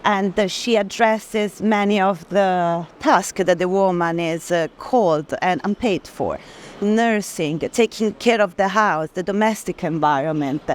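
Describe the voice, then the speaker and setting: A woman giving an explanatory gallery presentation at an art fair, pausing to search for words as she goes. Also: mid-range voice. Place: busy art fair hall. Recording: clear ambient noise from a busy venue.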